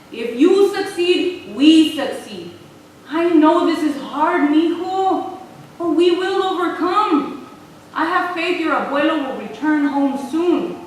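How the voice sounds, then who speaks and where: A woman playing a wise, steadfast Mexican American grandmother in a staged reading, speaking in measured, earnest English mixed with Spanish. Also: extremely high pitch, heavily acted. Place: theater stage, recorded from the audience. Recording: echoey hall from far off, faint noise.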